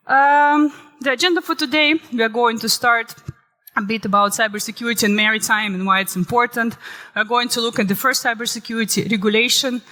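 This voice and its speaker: A woman, recovering from illness and saving her voice, giving a lively conference talk that shifts into dramatic storytelling. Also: a little high. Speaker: conference speaker. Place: conference hall. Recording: reverberant hall.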